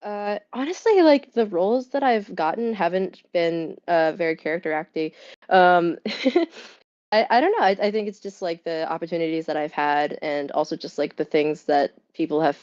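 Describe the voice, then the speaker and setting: Woman rattling off thoughts super fast, low-ish voice, kinda muffled lo-fi audio. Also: a little mellow. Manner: rapid, filler-heavy speech with many "like"s and restarts. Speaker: voice actor guest. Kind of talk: casual interview chat. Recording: muffled, lo-fi sound.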